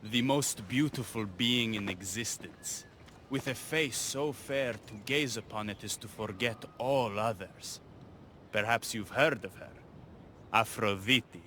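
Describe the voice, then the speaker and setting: A man playing a smooth-talking, lovestruck rebel with a dramatic, deliberate manner in a video game cutscene. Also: fairly low pitch, pushed and bright, a little hiss or hum. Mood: lovestruck, charming.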